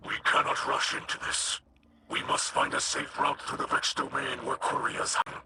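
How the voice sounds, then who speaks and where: A man playing a grave, wary alien captain and spiritual leader in a video game, with a breathy rasp and solemn, formal phrasing. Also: mid-range voice, little pitch movement, normal speed, heavy background noise, natural voice. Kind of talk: video game character dialogue.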